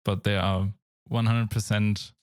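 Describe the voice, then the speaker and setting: German guy on a games podcast, low voice, pretty flat delivery, dry close mic. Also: unhurried, clean tone, no room sound. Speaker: podcast co-host. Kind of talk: casual chat.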